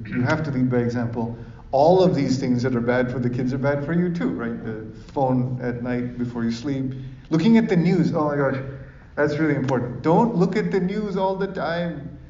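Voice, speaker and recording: A man's voice: medium pitch, normal speed, warm tone, slight background noise. Echoey, distant man giving an informal talk. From Sri Lanka. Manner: conversational, often seeks agreement with tag questions. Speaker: speaker giving advice to parents at a talk. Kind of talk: informal public talk with audience questions. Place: echoey room in front of an audience. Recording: roomy, dull, distant microphone recording.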